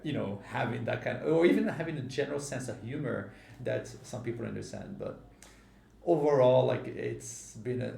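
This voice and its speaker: Gravelly man in an echoey room. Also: moderate pace, slight background noise. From Morocco. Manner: animated, grows lively and high when telling stories. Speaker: podcast host. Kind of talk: casual conversational storytelling. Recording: roomy echo.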